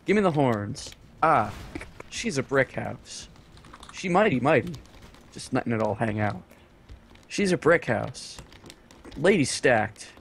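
A man in casual voice chat, reciting song lyrics slowly as spoken poems while friends laugh. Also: medium pitch, gravelly, slight background noise. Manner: slow, lively reciting with laughter. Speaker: gamer on voice chat.

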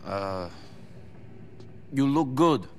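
An actor playing a blunt, deadpan immigrant tough guy in a video game, with an Eastern European accent. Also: medium pitch, very slow, a little hiss or hum. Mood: curious, dry.

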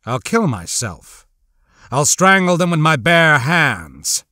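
A man voicing an obsessed, desperate scientist villain in an audiobook, speaking slowly and emphatically with menace. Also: fairly high pitch, very animated, bright, forceful tone, no echo, studio quality, exaggerated voice. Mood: desperate resolve.

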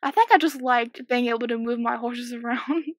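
A sixteen-year-old girl with a slightly croaky voice, chatting and giggling casually while commentating a game she is playing at home. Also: a little high, moderate pace, no echo, studio quality. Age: 16, teenager. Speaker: gaming YouTuber. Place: small home room.

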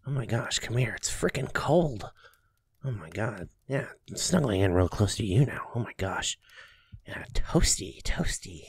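A doting young boyfriend, played by a man, murmurs in an intimate ASMR roleplay. His voice is low and husky, close and dry. He is soft and affectionate, with a playful teasing streak. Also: sing-song, a little slow, no room sound, full character voice. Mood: cozy, affectionate.

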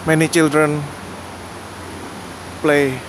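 Slow-talking man on a city walking tour. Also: a little high, level tone. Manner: slow, plain, pointing out landmarks with pauses and fillers. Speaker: vlogger giving a walking tour. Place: outdoors on rainy city streets. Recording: outdoor city noise behind the voice.

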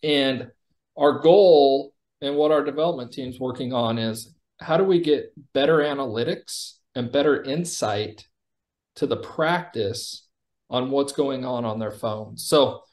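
A man walks through a software product in a casual, conversational way. He explains features informally, as a company executive would in a demo on a video call. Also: medium pitch, unhurried.

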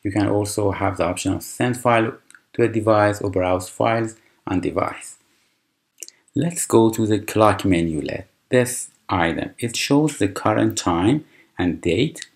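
Slow, low-voiced man explaining software. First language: Persian. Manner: unhurried, explanatory, with frequent fillers and restarts. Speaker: tutorial presenter. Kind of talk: screen-recorded software tutorial.

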